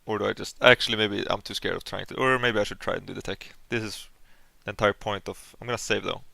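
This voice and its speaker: Gravelly, low-voiced Swedish-accented man thinking aloud. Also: steady tone, quick pace, sharp tone, no room sound. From Sweden. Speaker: animation streamer. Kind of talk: casual livestream, thinking aloud while working.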